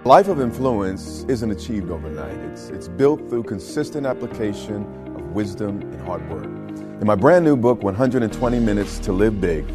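A man reading a scripted, measured and earnest promo for his own book. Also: on the low side, lively intonation. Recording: over music.

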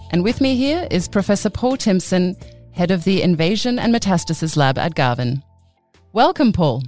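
A woman presenting a podcast, with a lively, animated lilt and a fairly low voice for a woman. She delivers the scripted introduction and her questions in a clear, measured way, with faint music underneath. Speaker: podcast host.

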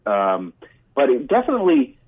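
A man chatting casually as an interview guest, halting and repeating words as he thinks. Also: medium pitch, wildly swooping pitch. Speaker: interview guest, political commentator. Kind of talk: casual spontaneous interview chat. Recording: muffled, band-limited remote line.

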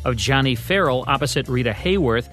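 A man's voice: medium pitch, lively intonation, fairly quick, fairly bright tone. American man hosting over music. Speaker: co-host of old-time radio podcast. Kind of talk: scripted narration.

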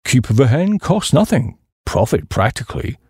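Man acting young English nephew, sheepish, naive, rambling, very animated pitch, audiobook comedy. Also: moderate pace, breathy edge, no room sound, studio quality, heavily acted. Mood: nervously explaining.

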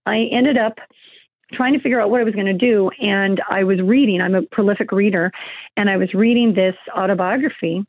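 A woman's voice: medium pitch, fairly quick, no echo. A middle-aged woman calls in as an interview guest, and her voice comes through a thin, tinny phone line. The sound is dry, with no room around it. She rambles, stopping often to hunt for words and start over. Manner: rambling, many fillers and restarts. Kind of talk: conversational interview.

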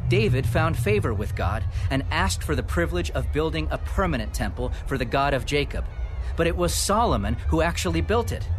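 A fervent young preacher, speaking with a fairly high, urgent voice that climbs into passionate rebuke. He is unyielding and impassioned, heard over a clear music score in an audio drama. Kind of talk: dramatic Bible reading.

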